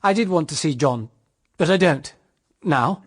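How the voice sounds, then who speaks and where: A man's voice: a little high, lively intonation, a little slow. An actor playing an earnest, self-deprecating, lovestruck man in a period radio drama, speaking a little hesitantly. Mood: awkward, regretful.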